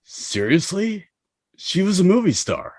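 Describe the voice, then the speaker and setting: A man's voice: high voice, wildly swooping pitch, unhurried, a little mellow, breathy edge, dry recording, studio quality, exaggerated voice. A man playing a cocky, easily frustrated finance-bro ghost in a table read. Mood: exasperated.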